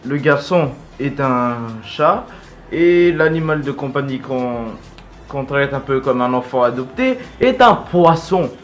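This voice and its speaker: A man's voice: medium pitch, expressive, unhurried. A male host giving casual, rambling and blunt fan commentary in an informal vlog. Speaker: video host.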